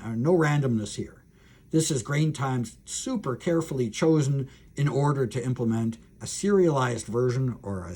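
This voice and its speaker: Halting male lecturer, slightly husky voice. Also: mid-range voice, a little mellow. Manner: halting, thinking aloud with frequent filled pauses and restarts. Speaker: university professor, lecturer. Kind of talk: technical lecture, explaining a diagram.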